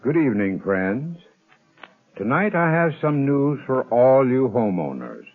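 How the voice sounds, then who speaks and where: A man's voice: mid-range voice, very animated, a little slow. A man giving an earnest, persuasive scripted radio advertisement. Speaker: sponsor's spokesman. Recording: tinny old radio recording.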